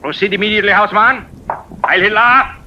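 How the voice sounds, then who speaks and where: A man's voice: high-pitched, brisk, natural voice. A man playing a brusque, impatient German military man in an old-time radio drama, curt and haughty. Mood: commanding. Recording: dull, faintly noisy old radio recording.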